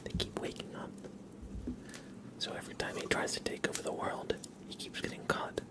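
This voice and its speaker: A man hosting an ASMR livestream, chatting casually in a hushed, breathy whisper with an unhurried, relaxed manner. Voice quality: breathy whisper with low creaky undertone.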